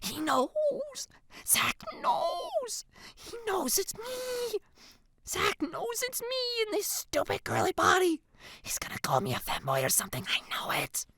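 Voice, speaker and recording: A woman's voice: sits high, huge pitch swings, unhurried, pauses often, fairly bright tone, no room sound, pitched above the performer's own voice, heavily acted. A woman voicing an anxious, sarcastic teenager in a girl's body, with a whiny, dramatic delivery in a story narration. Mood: panicked whispering.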